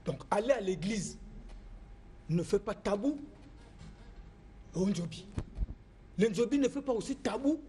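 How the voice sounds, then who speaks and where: High-voiced man, earnest. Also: animated, a little slow, long pauses, warm tone, slight background noise. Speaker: traditional spiritual master. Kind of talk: speaking in a discussion.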